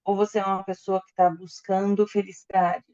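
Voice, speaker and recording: A woman interprets a lecture sentence by sentence, filling gaps with hesitations and quick restarts. Her voice is dark and mellow, and the recording sounds somewhat dull and muffled. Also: medium pitch, flat delivery. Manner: hesitant, with fillers and self-corrections. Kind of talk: consecutive interpreting into Portuguese.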